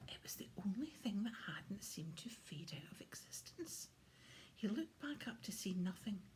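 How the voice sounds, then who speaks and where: A woman reads a children's story aloud as an audiobook narrator. Her voice sits at a medium pitch and her delivery is expressive, with some faint noise behind it. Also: rough recording. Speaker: audiobook reader. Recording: clear background noise.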